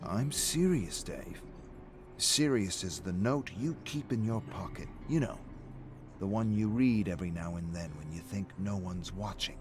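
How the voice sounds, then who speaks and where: A man's voice: deep voice, expressive, measured pace, over music. A man voicing a blunt, needling male drinking buddy in a scripted game story, taunting yet earnest.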